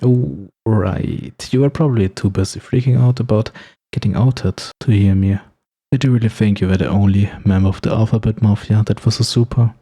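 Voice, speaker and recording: A man's voice: on the low side, fairly even intonation, normal speed, dark tone, breathy edge. A man playing a teasing, snarky supervillain with firm standards in an ASMR roleplay, speaking straight to the listener with sarcastic, playful banter. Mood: warm, curious.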